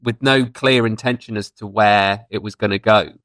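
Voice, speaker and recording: A man's voice: on the low side, steady tone, moderate pace, a bit bright, no room sound. A man chatting casually and reflectively as a guest in a fan discussion on a podcast.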